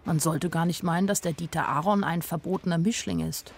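A woman's voice: fairly low pitch, a little fast, slight background noise, natural voice. An actress playing a candid, naive nineteen-year-old woman who tells her own story plainly in a radio drama. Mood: observant, naive. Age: young adult. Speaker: actress narrating as the main character. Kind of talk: radio drama first-person narration.

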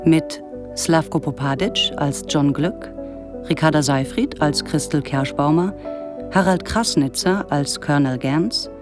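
A woman with a low voice makes formal announcements for a radio drama. She reads from a script at a slow, measured pace, with music clearly behind her. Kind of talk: formal scripted credits reading.

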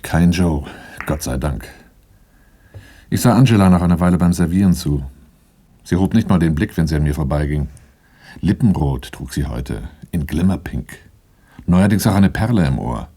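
A man acting as the self-assured, watchful first-person narrator of a crime radio drama, measured and understated. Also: very deep voice, lively intonation, dark tone. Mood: reflective. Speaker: actor narrating a radio play.

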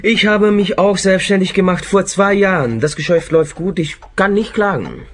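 An actor playing a discreet, businesslike client of a detective in a radio play, matter-of-fact and earnest. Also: male voice, sits high, moderate pace, natural voice. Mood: casual. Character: discreet, businesslike male client running shady agency.

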